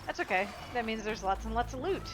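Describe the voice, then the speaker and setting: A woman's voice: fairly high pitch, expressive, fast talker. A woman hosting a let's play, chatting casually as she comments on a game.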